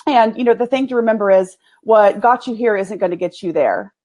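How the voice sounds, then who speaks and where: A woman in her forties with an East Texas accent, a guest talking candidly and folksily in a casual interview, quick to laugh. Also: medium pitch, darkish tone. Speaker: agency owner, guest.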